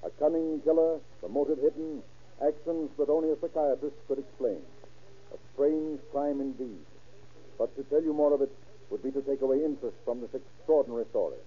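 A man narrating a scripted 1930s radio crime show in a formal, measured, dramatic manner with a husky voice. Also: medium pitch. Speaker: narrator and announcer. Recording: dull old radio recording.

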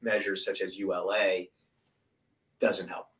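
A man's voice: deep voice, level tone, measured pace, fairly bright tone, far from the mic. A man hosting an interview, asking follow-up questions and adding brief affirmations. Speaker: interview host. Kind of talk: conversational interview.

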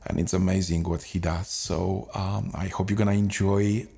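A man hosting a parish podcast, speaking haltingly with many fillers. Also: deep voice, little pitch movement, gravelly, dark tone. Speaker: parish priest and podcast host. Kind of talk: podcast announcement and interview.

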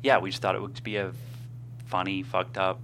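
A man's voice: deep voice, little pitch movement, moderate pace, fairly bright tone, slight background noise. A man screenwriter chatting casually as an interview guest.

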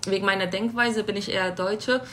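A woman in her twenties, a guest on an interview podcast, speaking at her home in a relaxed way, laughing lightly, with some fillers. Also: mid-range voice, fairly quick, a little hiss or hum. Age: 20s. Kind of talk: casual interview chat. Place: her home in a city flat.

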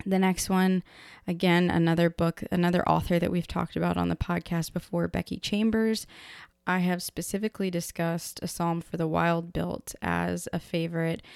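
A woman chats her way through a book podcast, rambling and full of fillers. Her voice is hoarse, low and gravelly, yet smooth underneath, and it sits dry and close to the mic. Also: darkish tone, no room sound. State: hoarse from illness. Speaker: podcast host. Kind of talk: casual book chat.

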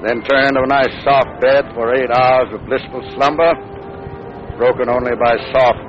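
An actor playing a dry, patient middle-aged judge and father in 1940s radio comedy, with an American accent and a measured, wry manner. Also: mid-range voice, steady tone, music in the background, natural voice. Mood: reflective, wry. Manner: measured, wry, mildly exasperated. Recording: muffled, noisy old radio recording.

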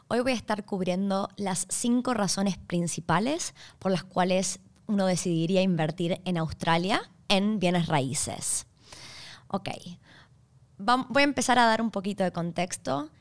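A woman's voice: mid-range voice, moderate pace. Argentine woman explaining to camera. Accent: Argentine Spanish. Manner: clear, checks in with "¿está bien?" and "¿ok?". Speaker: host.

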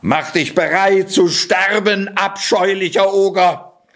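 A man's voice: on the high side, very animated, pitched above the performer's own voice. A man voicing a clever, brave male cat in boots who talks slyly and theatrically in a storybook. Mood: defiant. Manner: quick-witted, theatrical, sly.

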